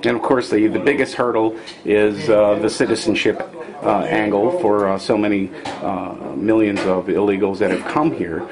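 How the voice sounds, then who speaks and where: A man's voice: on the low side, steady tone, moderate pace, a crowd in the background, low-quality recording. A man interviewing a candidate, asking long questions with many hesitations. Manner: hesitant, many fillers while setting up questions.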